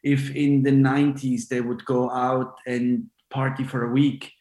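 A forty-year-old man from Switzerland chats loosely as an interview guest, circling his point with fillers and false starts. His voice sits at an ordinary middle pitch. The room around him rings with noticeable echo. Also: completely flat delivery, a little slow, darkish tone. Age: 40s. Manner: rambling, many fillers and restarts. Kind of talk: casual interview chat.

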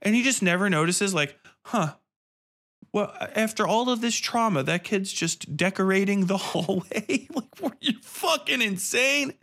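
A man's voice: high-pitched, lively intonation, a little slow, a bit bright. A man hosting a podcast, delivering a casual, sweary review rant in an exasperated, sarcastic and emphatic way. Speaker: podcast host reviewing horror films and books. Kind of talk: casual ranting review monologue, heavy swearing.

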